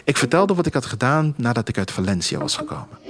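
A man narrating a scripted first-person story in a measured, literary way. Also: medium pitch, wildly swooping pitch, noisy background. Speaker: author reading own story as narrator. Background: music.

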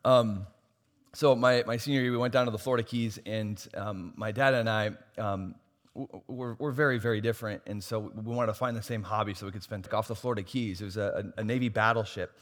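A pastor preaching a sermon, losing his voice and swinging from soft asides to loud, emphatic points. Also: fairly low pitch, steady tone, fairly quick. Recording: long hall reverb.

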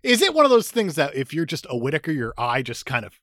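A man co-hosting a casual movie podcast, teasing his partner with quick jokes and asides. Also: a little high, wildly swooping pitch, a bit bright, dry recording, studio quality. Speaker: podcast co-host.

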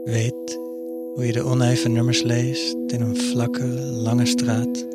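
A man narrating a poetic audio drama, reading slowly and with measured pauses over loud music. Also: medium pitch, monotone. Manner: slow, measured poetry reading.

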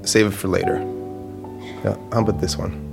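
An actor playing a newly blind man in an audio drama, halting and understated, stubborn yet wistful. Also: low-pitched, steady tone, vocal fry, music in the background. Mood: determined. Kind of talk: naturalistic audio fiction acting.

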